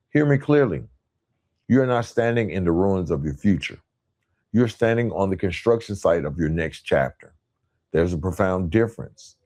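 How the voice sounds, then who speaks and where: A man with a very low, dark and mellow voice hosts a motivational podcast. He speaks earnestly and emphatically. His phrases often come in parallel, repeated runs that press each point home. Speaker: podcast host. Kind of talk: motivational monologue.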